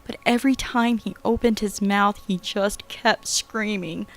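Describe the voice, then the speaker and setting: A woman's voice: mid-range voice, steady tone, measured pace, lightly acted. An actress playing a frightened, sick young woman in a horror audio drama, urgent, agitated and pleading. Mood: frantic insistence.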